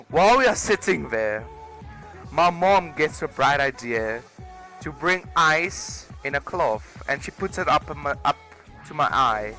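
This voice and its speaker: Young man, sharp forceful voice, casual storytelling, exaggerated delivery, faint background music. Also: medium pitch, low-quality recording. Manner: animated, exaggerated comic emphasis. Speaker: video creator.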